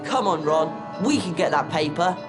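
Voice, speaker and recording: An actor playing an earnest, excitable young schoolboy wizard in a video game. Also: male voice, fairly high pitch, moderate pace, close to an everyday voice. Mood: determined. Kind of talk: video game character acting. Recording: loud game music behind.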